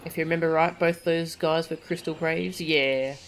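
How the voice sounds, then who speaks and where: A woman's voice: low-pitched, fairly even intonation, normal speed, a little hiss or hum. A woman livestreaming a video game, chatting and commenting as she plays. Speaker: game streamer. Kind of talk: livestream gameplay commentary, reading dialogue aloud.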